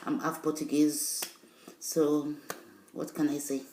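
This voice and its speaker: A woman whose first language is not English talks straight to her viewers in a loose, rambling vlog style, pressing her points with insistent emphasis. Her voice sits fairly low, and the room around her rings with echo. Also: flat delivery, slow pace, background noise. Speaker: vlogger. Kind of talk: casual video talk to viewers.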